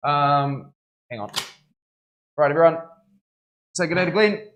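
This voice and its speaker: A young man, chatting casually on a live stream while tattooing, slangy and relaxed with many fillers. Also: fairly high pitch, unhurried, slight background noise. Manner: laid-back, slangy, lots of "bro" and fillers.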